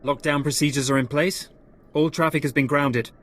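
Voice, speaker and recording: A man's voice: on the high side, fairly even intonation, moderate pace, fairly bright tone, close to an everyday voice. A male actor playing a dutiful, anxious young operations technician in a video game, reporting in a clipped manner. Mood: alert, tense.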